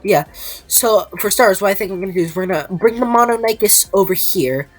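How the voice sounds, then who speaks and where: A woman's voice: mid-range voice, fairly quick, poor audio. A woman with a stuffy, slightly nasal voice gives hesitant, casual commentary over her gameplay, with many ums. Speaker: gameplay video creator. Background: faint background music.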